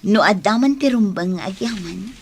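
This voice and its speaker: A woman playing a soft-hearted, hopeful young woman in love in an Ilocano radio drama. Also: mid-range voice, expressive, moderate pace, vocal fry, a little hiss or hum. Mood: tender.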